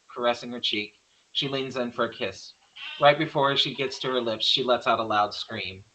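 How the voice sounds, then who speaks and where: Bright-voiced man narrating a horror script. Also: medium pitch, rough recording. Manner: plain stage-direction reading.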